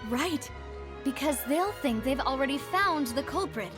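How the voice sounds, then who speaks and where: Determined young lady investigator for a game: high pitch, articulate, measured. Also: lively intonation, music in the background. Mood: questioning, thoughtful. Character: poised, determined young woman leading an investigation. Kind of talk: video game character dialogue.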